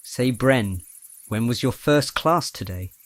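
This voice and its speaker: A man acting a gently teasing, bookish young roommate in an audio drama, with measured, slightly formal phrasing. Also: medium pitch, lively intonation, no echo. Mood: calm, inviting.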